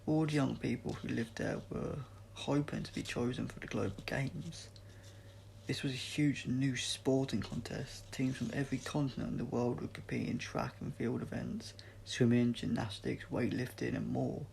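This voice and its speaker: Male amateur audiobook reader, mid-range and heavily fry-laden, scripted narration, slight background hiss. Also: mid-range voice, fairly even intonation, normal speed, a little mellow, poor audio. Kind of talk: scripted story narration read aloud, amateur.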